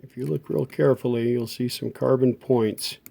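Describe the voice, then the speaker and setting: A man's voice: medium pitch, fairly even intonation, moderate pace, vocal fry, mellow. A middle-aged man giving a casual hands-on repair tutorial, talking in an informal, folksy way as he works. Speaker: host of a vintage radio repair channel.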